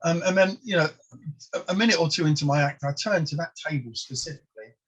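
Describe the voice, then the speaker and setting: A man's voice: on the high side, lively intonation, quick pace, husky, rough recording. A man in his sixties with a London accent, a native Polish speaker, telling rambling, self-deprecating stories as a comedian being interviewed. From England. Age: 60s. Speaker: comedian and guest. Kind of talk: casual interview chat.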